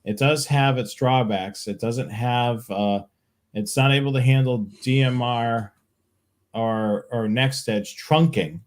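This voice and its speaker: A man's voice: mid-range voice, steady tone, takes it slowly. A man hosting a live show, answering tech questions in an informal, plain-spoken way. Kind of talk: casual tech Q&A talk.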